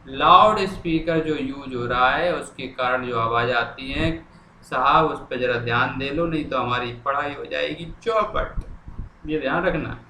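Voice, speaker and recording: A man's voice: medium pitch, a little slow, sharp tone. A man teaching a lesson, explaining step by step, repeating lines and answering his own questions. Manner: instructive, asks questions and answers them himself. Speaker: English teacher. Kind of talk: lesson explaining a formal letter line by line, repeating and translating. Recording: echoey room, faint background noise.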